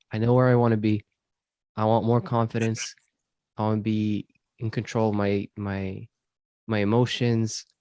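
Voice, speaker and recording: Man from syria, podcast host, thoughtful measured speech, low mellow voice. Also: no echo. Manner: thoughtful, measured, with frequent ums. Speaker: podcast host being interviewed. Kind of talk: reflective conversational interview.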